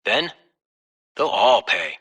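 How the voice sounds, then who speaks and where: Unhinged male game villain, paranoid and vengeful, raspy with a very tense, sharp edge. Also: fairly low pitch, big pitch swings, takes it slowly, exaggerated voice. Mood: scheming. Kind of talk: video game monologue, recorded diary.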